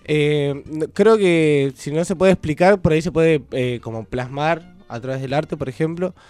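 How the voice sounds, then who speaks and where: Man, Rioplatense accent, casual interview guest, higher-than-average pitch, hesitant, soft music underneath. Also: normal speed, clear, resonant tone, no room sound. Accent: Rioplatense Spanish. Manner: hesitant, thinking aloud. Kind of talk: casual radio conversation.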